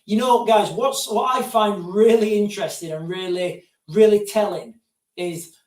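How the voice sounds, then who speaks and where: Male streamer, high voice, loose digressive chat, set back from the mic in a roomy space. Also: measured pace. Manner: digressive, keeps addressing viewers as guys. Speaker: live-stream host answering viewer questions. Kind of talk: casual rambling live-stream chat. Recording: roomy sound, distant from mic.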